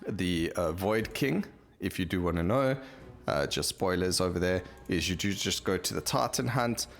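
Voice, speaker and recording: Deep voiced guy chatting through a game, rambly, thinking out loud, music in the background. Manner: rambling, thinking aloud while playing. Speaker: gameplay video host. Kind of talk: casual game commentary.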